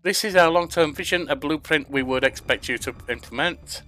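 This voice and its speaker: A man's voice: on the high side, fairly quick, sharp tone, soft background music. An older man from England narrating a management video game, reading the questions aloud and answering them himself. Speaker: gaming YouTuber. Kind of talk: casual let's-play commentary. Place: home room at a desk.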